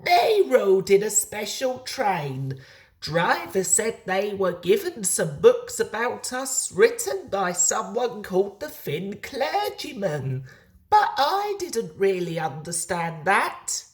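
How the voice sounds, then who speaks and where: A woman voicing a proud, animated little steam engine. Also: medium pitch, slow pace, voice pushed up. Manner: boastful, dramatic storytelling. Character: proud, chatty male steam engine recounting adventures.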